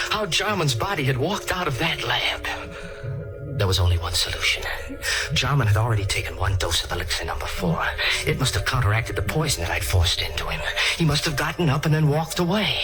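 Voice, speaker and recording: An actor playing a smug, uneasy immortal murderer in a 1940s radio thriller, with melodramatic, brooding delivery. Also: male voice, medium pitch, lightly acted. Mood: shaken, brooding. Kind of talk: 1940s radio acting, first-person thriller narration. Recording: old radio play with organ music.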